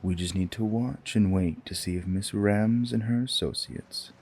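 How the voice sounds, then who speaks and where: Man playing a deep, ominous, patient mastermind. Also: moderate pace, a little hiss or hum, close to an everyday voice. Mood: calmly ominous. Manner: calm, grandiloquent. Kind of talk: fantasy audio drama acting.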